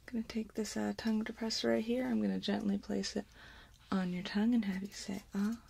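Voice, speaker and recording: A woman in her thirties doing a soft-spoken, partly whispered ASMR exam role play, giving slow, gentle instructions close to the microphone. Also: mid-range voice, level tone, a little hiss or hum. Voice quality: breathy, whispery. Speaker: ASMR performer playing an examiner.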